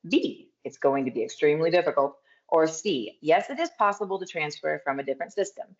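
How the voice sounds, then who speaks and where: A woman moderating an online school open house, guiding the audience step by step in a lively way over video call. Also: deep voice, brisk. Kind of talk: upbeat event hosting. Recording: dull lo-fi video-call audio.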